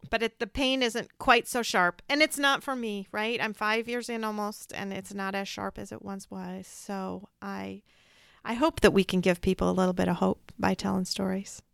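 A woman hosting a podcast interview, gently affirming her guest and speaking softly. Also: mid-range voice, steady tone, moderate pace, gravelly, clean tone, no echo, studio quality. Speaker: podcast host. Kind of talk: interview conversation.

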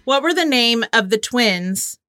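A woman with a bright, forward voice co-hosts a casual quiz. She banters playfully and is quick to giggle, recorded dry and close with music underneath. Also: a little high, animated, clear, resonant tone, no room sound. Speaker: co-host of a trivia game.

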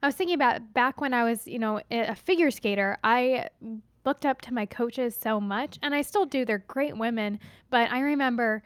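A woman's voice: fairly high pitch, moderate pace, dry recording. A woman co-hosting a podcast, chatting in an upbeat, self-joking way. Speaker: podcast co-host. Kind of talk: casual chat.

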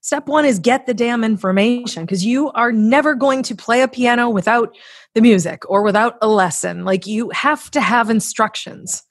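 A woman's voice: fairly high pitch, moderate pace, no echo, clean studio sound. A woman speaking as a podcast guest, giving conversational motivational advice with acted-out examples in an emphatic, blunt, casually sweary manner. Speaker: podcast guest, author and coach.

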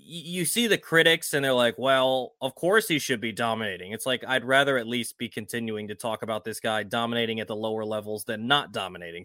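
A man's voice: medium pitch, bright, forceful tone, no room sound, clean studio sound. A man co-hosting a sports show, talking through conversational baseball analysis.

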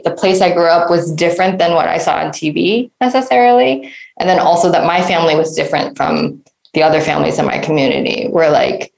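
A woman's voice: lowish voice, vocal fry, reverberant, rough recording. A woman from the Detroit area, a guest answering at length in an interview, thoughtful, full of fillers and asking "right?". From Detroit area, United States.

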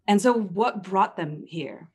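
A woman's voice: mid-range voice, darkish tone, breathy edge, no room sound. Upbeat woman hosting an interview. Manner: curious, upbeat, quick follow-up questions. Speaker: podcast host. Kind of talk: conversational interview.

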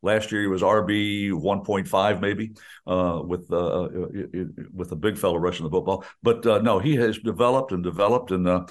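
A man's voice: low voice, steady tone, normal speed. An older man, a veteran radio announcer, chatting in a folksy, enthusiastic way and often restarting his sentences. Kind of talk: casual chat on a radio show.

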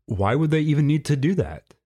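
An earnest young scaly boy from a storybook, played by a male reader. He sounds higher-pitched, hesitant and full of wondering questions. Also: expressive, fairly quick, warm tone. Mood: curious, amazed. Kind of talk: storybook character voice.

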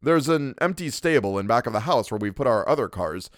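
A man reading a story and voicing a mild, earnest middle-aged doctor who speaks gently and hesitantly. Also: medium pitch, lively intonation, a little fast, dry recording, studio quality, lightly acted. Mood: placating.

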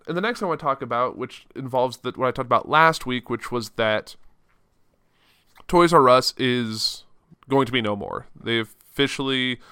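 A man's voice: mid-range voice, pushed and bright, clear, resonant tone, no echo, studio quality. A man from the Chicago suburbs hosting a solo news podcast, talking casually with a cold and stuffed-up sinuses. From Chicago suburbs, Illinois. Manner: casual, rambling, self-correcting. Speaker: podcast host.

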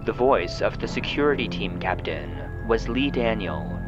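A man reading scripted narration and credits as a show's narrator, in a formal, measured announcing style. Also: lowish voice, expressive, muffled recording. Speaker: producer and narrator. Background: music.

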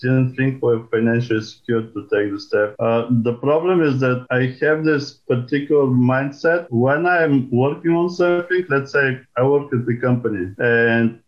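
A middle-aged man with a Bulgarian accent, telling his own story casually and candidly, with halting, repeated words. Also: mid-range voice, lo-fi sound. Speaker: guest, career changer seeking data science job. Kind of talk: casual spoken personal account.

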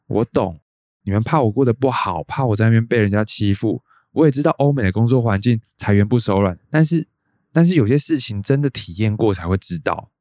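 A man's voice: on the low side, expressive, brisk, darkish tone, no echo, studio quality, natural voice. An actor with a Taiwanese Mandarin accent playing an earnest, determined man in his thirties in a radio drama. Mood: pleading, determined. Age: 30s. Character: earnest, determined thirty-two-year-old son chasing a dream. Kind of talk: radio drama monologue and dialogue.

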